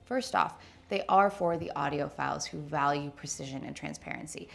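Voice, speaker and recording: Low-pitched, creaky-voiced woman presenting a review. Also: moderate pace. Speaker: product reviewer and showroom presenter. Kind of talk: video product review, presenting to camera. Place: indoor showroom with wood-slat wall.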